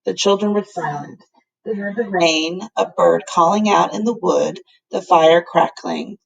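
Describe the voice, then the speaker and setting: Woman, teacher, read-aloud narration, gravelly creak, raspy edge, mid-range pitch. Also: a little slow, highs cut off. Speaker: teacher reading to class.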